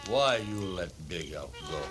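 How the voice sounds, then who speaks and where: Proud, wary captive chief in a western radio play, deep and unhurried, halting clipped sentences. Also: male voice, lively intonation, over loud music. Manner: terse, halting broken English, solemn. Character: proud, wary captive Sioux chief named Big Elk. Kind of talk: 1950s western radio acting.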